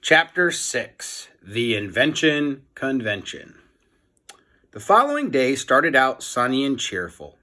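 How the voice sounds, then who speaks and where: A man's voice: mid-range voice, big pitch swings, takes it slowly, pushed and bright. A man narrating a children's storybook aloud, as a teacher reading for young listeners.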